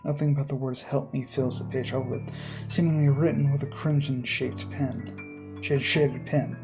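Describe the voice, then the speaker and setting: A man's voice: mid-range voice, steady tone, normal speed, gravelly, music in the background. A man reading a horror visual novel aloud as dramatic narration, now and then stumbling over words and rereading them.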